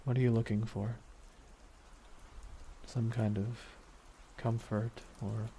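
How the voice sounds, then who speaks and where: A low-voiced, very mellow supervillain, acted by a man, weary with grief and bitterly vengeful. He speaks in a brooding ASMR roleplay monologue, leaving deliberate pauses. Rain and steady noise sit behind the voice. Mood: wry, mocking. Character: grieving vengeful male supervillain, weary, menacing, softly bitter.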